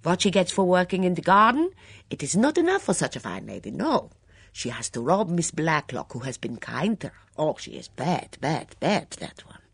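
A fiery, indignant foreign cook, put on by a woman reader who talks in halting broken English and hammers her words by repeating them. She is pitched high and loud, with a husky grain and a dry, close sound. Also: big pitch swings, no echo, exaggerated voice. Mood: accusing.

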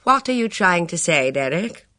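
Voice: mid-range voice, very animated, no room sound, clean studio sound, pitched above the performer's own voice. A reader voicing a bold, reckless middle-aged woman who speaks sharply and commandingly. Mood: imperious. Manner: brisk, imperious, sharp.

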